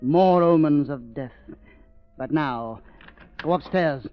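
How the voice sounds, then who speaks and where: An actor playing a sinister, coldly mocking villain of a doctor in a deliberate, menacing manner, in 1930s radio thriller style. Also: male voice, fairly high pitch. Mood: mocking, commanding. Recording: muffled, dull 1930s radio recording.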